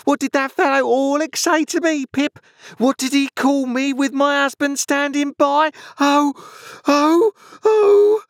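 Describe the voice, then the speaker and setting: A man voicing a shrill, scolding married woman who works herself into a melodramatic rage in a storybook reading. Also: lively intonation, measured pace, no room sound, clean studio sound, full character voice. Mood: shrieking hysteria. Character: shrill shrewish middle-aged married woman in a mounting rage.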